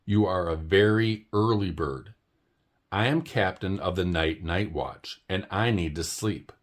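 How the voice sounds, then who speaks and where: A man's voice: low voice, a little slow. A man voicing a tired, dignified male owl knight in a storybook read-aloud, speaking in a weary, stiff and formal way.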